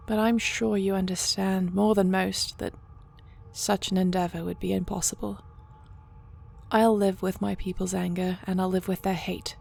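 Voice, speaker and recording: A woman playing a resolute, noble dragon-shifter queen in a fantasy audio roleplay, speaking earnestly and formally in a breathy, husky voice. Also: medium pitch, a little mellow. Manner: earnest, measured, formal phrasing.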